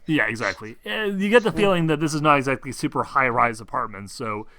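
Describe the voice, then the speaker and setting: A man improvising narration as game master of a tabletop game, thinking aloud in a halting, conversational way. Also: medium pitch, expressive, a crowd in the background.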